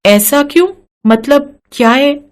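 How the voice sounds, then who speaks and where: A woman's voice: high-pitched, slow pace. A woman voicing a curious, puzzled young newlywed wife asking earnest questions in a moral story.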